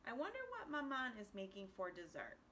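A woman's voice: a little high, huge pitch swings, a little fast, very noisy. A woman voicing an eager, inquisitive little boy in a children's storybook. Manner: inquisitive, earnest.

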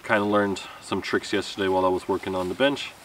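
Male outdoor vlogger, thirties, deep voice, casual rambling delivery, recorded a few metres from the mic. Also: fairly even intonation, fast, a bit bright. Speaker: camping vlogger. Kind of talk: casual vlog talk to camera. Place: outdoor wooded campsite. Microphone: camera a few metres away. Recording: distant mic with outdoor background noise.

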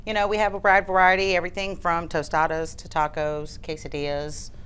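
A woman's voice: fairly low pitch, flat delivery, fairly quick, a bit bright, clean tone. A woman in her thirties, a head chef guesting on local TV, easygoing and loose-spoken. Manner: easygoing, lots of "you know". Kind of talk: TV guest interview. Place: TV studio kitchen set.

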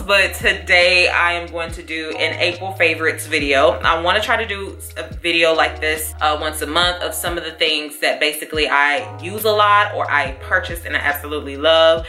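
A young woman chatting to camera in her bedroom, animated and talkative, calling viewers y'all and honey, with a deep voice. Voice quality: deep, low voice. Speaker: lifestyle vlogger. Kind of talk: casual chat to camera. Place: bedroom at home. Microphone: camera mic, seated facing camera. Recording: roomy bedroom echo.

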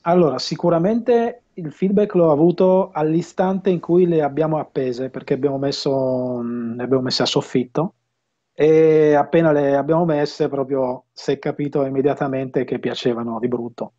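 A man in his fifties talking casually in an interview, often pausing mid-sentence as he explains things. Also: fairly high pitch, warm tone. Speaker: audio system installer and dealer being interviewed. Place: home room with bookshelves, indoors. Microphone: earphone headset on a video call. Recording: dull, lo-fi video call audio.

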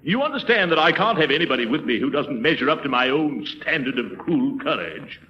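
Lord Bingham, swooping pompous British lord. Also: male voice, a little high, very animated, brisk. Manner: pompous, genteel. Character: pompous, cowardly British lord and game hunter. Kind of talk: 1930s radio comedy acting. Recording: muffled 1930s radio recording.

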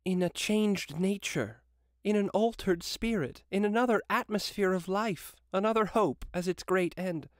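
A man voicing a sorrowful, resolute young woman in a storybook reading, earnest, measured and formal. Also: high-pitched, no echo, studio quality.

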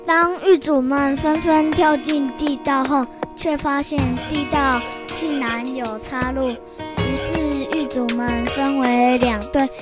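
A woman's voice: high voice, steady tone, takes it slowly. A very young female narrator, reading a scripted children's story. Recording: loud music behind. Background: music.

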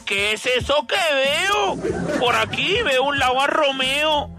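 A brash young Colombian street hustler in a radio soap. The voice is extremely high, the slang comes in singsong rhymes, and music plays clearly behind it. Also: male voice, slow pace, a crowd in the background, heavily acted. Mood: cheeky. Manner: singsong rhyming patter, slangy. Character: brash young male street busker, cheeky and quarrelsome. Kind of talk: comic radio soap opera acting.

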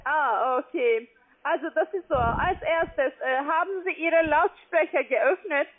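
A woman with a foreign accent giving a halting, formulaic sales pitch as a call centre agent. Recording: tinny narrow-band phone line.

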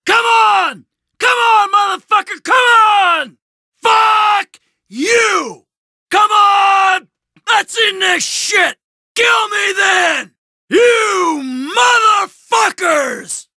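An actor with an American accent playing a jaded, hot-tempered middle-aged criminal in a video game, sardonic, profane and exasperated. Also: male voice, very high voice, expressive, extremely slow, sharp tone, dry recording, shouting, clean studio sound, exaggerated voice. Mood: enraged.

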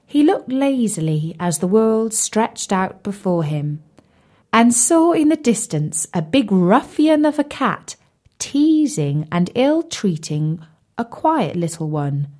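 A woman narrating a children's fairy tale as a storyteller, with an expressive, measured delivery. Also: medium pitch. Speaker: storyteller, audiobook reader.

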